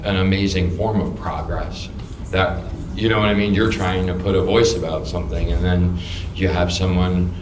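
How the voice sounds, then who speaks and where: Deep-voiced American man, rambling remarks. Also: steady tone. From New York. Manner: halting, repeats words, heartfelt. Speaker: audience member. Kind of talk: casual, rambling remarks to a panel. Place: art gallery during a public talk. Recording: roomy and noisy gallery room.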